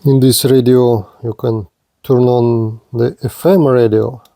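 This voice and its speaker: A man giving a hands-on demonstration of gear as a product reviewer, speaking in short, halting phrases. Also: mid-range voice, fairly even intonation, vocal fry, very noisy.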